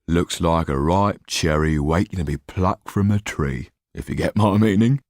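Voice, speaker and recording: A man's voice: deep voice, a little slow, a little mellow, no room sound, studio quality. A man voicing a greedy, grumbling, colloquial informant in a historical audiobook. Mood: leering. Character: big-headed, greedy, grumbling young man, a lowly informant.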